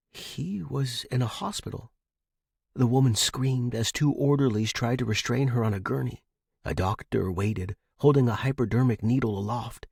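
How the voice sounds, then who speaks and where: Male narrator for pulp fiction podcast. Mid-pitched, slightly rough-edged voice, expressive dramatic read, close dry sound. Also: no room sound.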